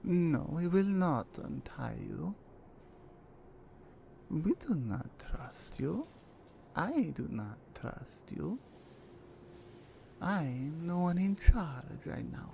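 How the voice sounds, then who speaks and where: A woman's voice: sits low, sing-song, gravelly, a little hiss or hum, heavily acted. A woman voicing a menacing female Russian mafia enforcer in an ASMR roleplay, speaking with a Russian accent and dropping into teasing whispers. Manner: slow, teasing menace with soft whispered asides. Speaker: voice actress.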